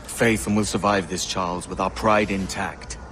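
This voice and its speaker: An actor playing a resolute, plotting pirate captain with a measured, decisive manner in a video game cutscene. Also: male voice, low-pitched, fairly even intonation, fairly bright tone. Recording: clear outdoor ambience noise.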